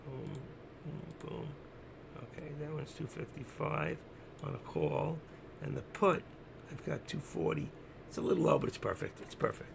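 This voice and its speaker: A man with a gravelly, hoarse voice that is giving out, doing unscripted live trading commentary, thinking aloud, repeating himself and instructing his viewers. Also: a little high, expressive, normal speed, background noise. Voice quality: gravelly, creaky. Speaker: live stock-trading streamer.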